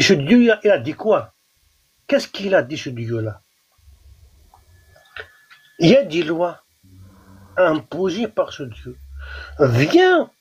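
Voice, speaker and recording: A man with a Kabyle Algerian accent argues hard in a live online debate, his pitch leaping up and down as he presses his point. His voice is husky and fairly high. It comes through a dull, muffled live audio feed. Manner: insistent, repetitive, restarts words. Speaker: debate guest.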